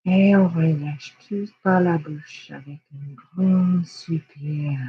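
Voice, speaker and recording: A person guiding a yoga nidra relaxation in a hushed, soothing, unhurried way with long pauses. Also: medium pitch, steady tone, breathy edge, highs cut off. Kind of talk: guided relaxation meditation.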